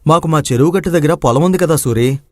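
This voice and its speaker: A man's voice: on the high side, quick pace, dry recording, clean studio sound, natural voice. A man playing an earnest, respectful, devoted young son who farms, in a dramatized moral story. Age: young adult.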